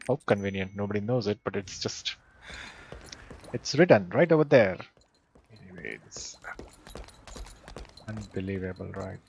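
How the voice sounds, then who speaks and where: A man's voice: fairly low pitch, lively intonation, a little slow, creaky, pauses often, a little hiss or hum. A man giving casual playthrough commentary, with video game music behind him. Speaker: game streamer.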